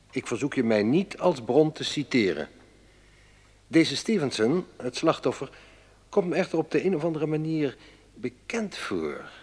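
Echoing, animated officious male clerk. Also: mid-range voice. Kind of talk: radio drama letter monologue. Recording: long reverberant hall sound.

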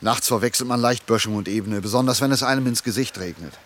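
A man's voice: medium pitch, fast, fairly bright tone, lightly acted. An actor playing a bossy, cocksure fugitive man who scolds and lectures his comrade impatiently, in a German radio play. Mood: impatient, scolding.

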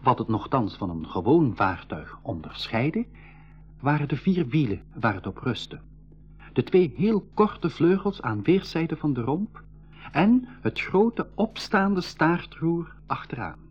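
A man playing the narrator of an adventure in an educational radio drama, with a Flemish accent and a measured, descriptive storytelling manner. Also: mid-range voice, breathy edge. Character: boy hero narrating his adventure afterwards. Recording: dull 1980s radio drama recording.

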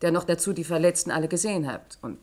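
A low-pitched, stern chief prosecutor acted by a woman in a radio play. She is incisive and insistent, building her arguments with precision. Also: quick pace, darkish tone, natural voice. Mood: sharp, indignant. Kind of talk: German radio play acting.

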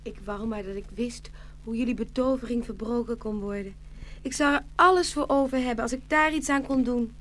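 A woman's voice: on the high side, fairly quick, a bit bright, lightly acted. A woman playing a sweet, devoted young princess in a fairy-tale radio play, earnest and girlish. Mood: resolute.

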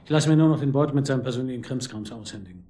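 Man acting police chief inspector, mid-pitched, dry, wry, sceptical, hesitant phrasing, radio drama. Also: rapid-fire, mellow, lightly acted. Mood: businesslike. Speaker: detective.

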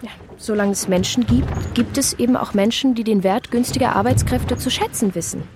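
An actress playing a figure in a theatrical revue, delivering scripted lines in a deliberate, articulate way. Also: female voice, on the high side, lightly acted. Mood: smug, upbeat. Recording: clearly noisy, with clicks.

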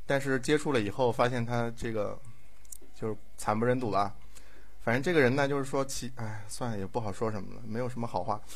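A man chatting casually as a drama director, with a blunt, dryly teasing and halting delivery. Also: mid-range voice. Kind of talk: casual chat.

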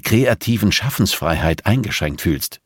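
A man's voice: fairly low pitch, expressive, measured pace, slightly rough, dry recording, clean studio sound. A man voicing an exasperated male police chief in an audiobook, firm, admonishing and weary. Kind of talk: audiobook character dialogue.

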